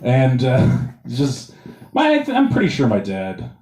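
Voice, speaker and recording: A man's voice: mid-range voice, huge pitch swings, slow pace, a little mellow. A man from Montana doing a crude, self-mocking stand-up set, rambling with fillers. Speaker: stand-up comedian. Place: comedy club stage. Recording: roomy club sound, taken from a distance, audience laughter.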